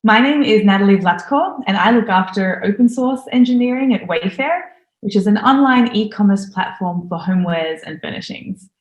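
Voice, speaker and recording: A woman's voice: medium pitch, lively intonation, moderate pace. Enthusiastic woman presenter in an echoey room. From Australia. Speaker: conference speaker. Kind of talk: recorded conference talk.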